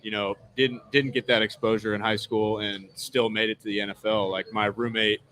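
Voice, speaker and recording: A man's voice: lowish voice, level tone, moderate pace, pushed and bright, rough recording. A man in his twenties with an American accent, answering interview questions as an athlete in a casual, laid-back, modest way. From Southern California, United States. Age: 20s. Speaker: American football player being interviewed. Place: live event at a casino.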